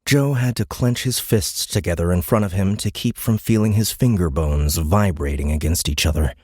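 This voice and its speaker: A man with a deep, low-pitched voice narrates a science fiction story. His delivery is dramatic and deliberate, recorded very dry and close in a studio. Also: darkish tone, no echo. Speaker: audiobook narrator. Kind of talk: scripted sci-fi story narration.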